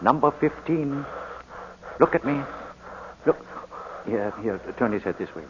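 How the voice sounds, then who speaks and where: An actor playing a formal, pressing barrister questioning in court, in 1940s radio drama style. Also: male voice, medium pitch, animated, a little slow, natural voice. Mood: coaxing, urgent. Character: insistent, forceful courtroom barrister cross-examining witnesses. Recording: muffled, hissy 1940s radio recording.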